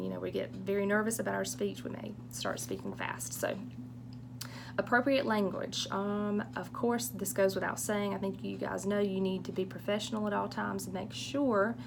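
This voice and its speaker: A woman's voice: mid-range voice, moderate pace, creaky, noisy background. A middle-aged woman from eastern North Carolina with a strong Southern accent, answering student questions for a college public speaking course in a friendly, reassuring, chatty way. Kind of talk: casual recorded course Q&A.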